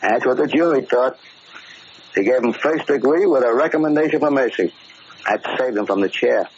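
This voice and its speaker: An actor playing a cocky, tough-talking gangster in a slangy 1940s radio drama style. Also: male voice, medium pitch, moderate pace, close to an everyday voice. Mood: brash, impatient. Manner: slangy, brash. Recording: muffled, hissy 1940s radio recording.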